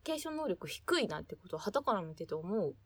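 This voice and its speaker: A woman's voice: medium pitch, sing-song, fast talker, breathy edge, no room sound. A woman giving encouraging, explanatory advice in a casual podcast chat. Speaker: podcast co-host.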